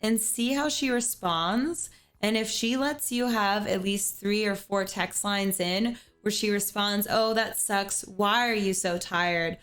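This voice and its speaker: A woman's voice: medium pitch, steady tone, slow pace, fairly bright tone. A young woman hosting a livestream, giving a caller earnest advice in a casual chat and repeating words as she thinks. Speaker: streamer host giving advice.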